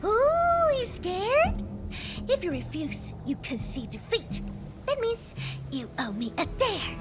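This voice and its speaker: A woman voicing a brash, boastful, playful young feline girl in a game, childlike and excitable. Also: slow, full character voice. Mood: cocky, indignant. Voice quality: childlike, high. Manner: cocky, excitable, exclaiming. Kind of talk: anime game voice acting. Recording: loud game music behind.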